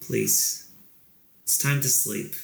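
An actor playing a sleepy, pleading boyfriend with a gravelly voice, coaxing in an echoing, noisy found-footage recording. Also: male voice, mid-range voice, fairly even intonation, takes it slowly. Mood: drowsy, pleading. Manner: drowsy, coaxing.

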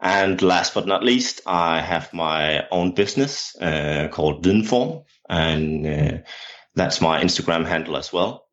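A man's voice: sits low, expressive, unhurried, fairly bright tone. A man with a Danish accent, a coach answering interview questions in English, hesitant and full of fillers and restarts. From Denmark. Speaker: jumps coach and lecturer. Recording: dull lo-fi sound.

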